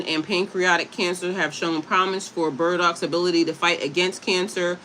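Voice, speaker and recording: Bright, chatty woman livestream host. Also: fairly low pitch, slight background noise, poor audio. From Delaware, USA. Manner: chatty, addresses viewers directly, stumbles over and spells out hard words. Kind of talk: casual livestream chat, reading aloud from a website.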